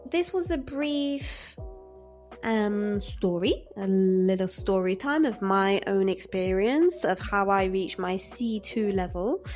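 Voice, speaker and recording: A woman in her thirties with a British English accent, a native French speaker, teaches English to camera at an unhurried pace. Her voice is warm and mellow, and she presses her main points by saying them twice. Soft music plays faintly behind her. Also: medium pitch. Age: 30s. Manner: earnest, repeats key phrases for emphasis. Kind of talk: encouraging direct-to-camera lesson.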